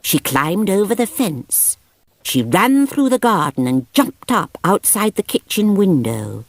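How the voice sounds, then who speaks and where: A woman narrating a children's picture book aloud in an expressive storytelling manner. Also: medium pitch, measured pace, no echo.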